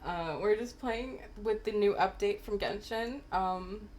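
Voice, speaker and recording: A woman's voice: mid-range voice, unhurried, darkish tone. A woman live streaming a video game, chatting informally and in a giggly way as she greets her viewers. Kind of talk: casual live-stream chat.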